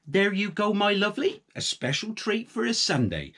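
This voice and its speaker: A man's voice: sits high, big pitch swings, fairly bright tone, voice pushed up, full character voice. A man voicing a bossy, nagging farmer's wife in a children's story, fussy and commanding. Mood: coaxing. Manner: nagging, commanding, drawn-out emphasis. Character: bossy, scolding farmer's wife, fussy about clean clothes.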